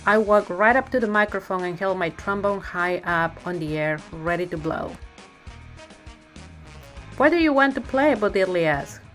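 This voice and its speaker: A woman's voice: mid-range voice, expressive, normal speed, a bit bright. Woman reading a storybook over music. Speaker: narrator reading a picture book aloud. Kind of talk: storybook read-aloud narration.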